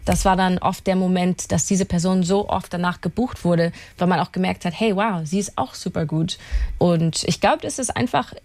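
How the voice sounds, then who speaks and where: A woman's voice: medium pitch, darkish tone, no echo. Chatty woman with a Canadian accent. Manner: chatty, laughs often, slips English words in. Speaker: guest singer-songwriter. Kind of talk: radio interview, casual chat. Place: radio studio.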